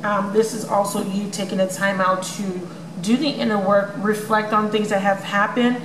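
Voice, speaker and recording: A woman's voice: medium pitch, flat delivery, normal speed. Chatty woman giving a tarot reading. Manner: chatty, many ums, addresses viewers as you guys. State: voice may crack from many readings. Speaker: tarot reader. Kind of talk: casual tarot reading vlog. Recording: echoey room with noisy background.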